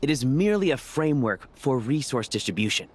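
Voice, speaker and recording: A man's voice: mid-range voice, big pitch swings, brisk, slight background noise, natural voice. A man voicing a diplomatic official aide in a video game, courteous, formal and explanatory. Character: polite, measured male aide, diplomatic and official. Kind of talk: video game character acting.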